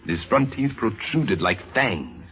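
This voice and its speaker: A man's voice: fairly low pitch, big pitch swings, a little slow, slightly rough. A man narrating a scripted old-time radio drama in a grave, theatrical manner. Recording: dull lo-fi old radio recording, music. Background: dramatic music.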